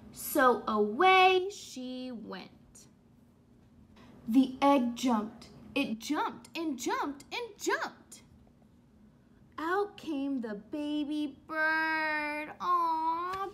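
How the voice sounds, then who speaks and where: A young woman teacher reading a children's book aloud and asking questions in an expressive, instructive way. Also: sits high, extremely slow. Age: young adult. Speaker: elementary school teacher. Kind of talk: children's read-aloud lesson. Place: small room at home.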